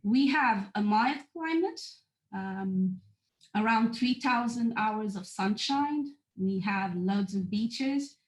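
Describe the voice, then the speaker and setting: A woman from Portugal with a Portuguese accent, presenting in a webinar at a measured pace with frequent hesitations. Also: mid-range voice, expressive, echoey room, rough recording. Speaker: university international relations officer presenting.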